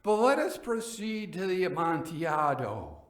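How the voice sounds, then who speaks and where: A man's voice: fairly high pitch, big pitch swings, a little slow, reverberant, distant mic, voice pushed up. A man voicing a proud, stubborn drunk wine lover with exclamatory bravado in a gothic story reading. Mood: incredulous.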